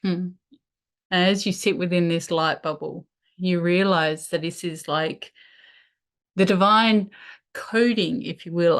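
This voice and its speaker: A woman leading a guided meditation, speaking in a soothing, unhurried way with pauses between phrases. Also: on the low side.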